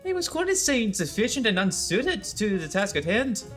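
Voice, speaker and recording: A man's voice: sits high, very animated, normal speed, a bit bright, faint music behind. A man playing a courteous ship's doctor and inventor in an audio drama, speaking in precise, formal words. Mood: explaining.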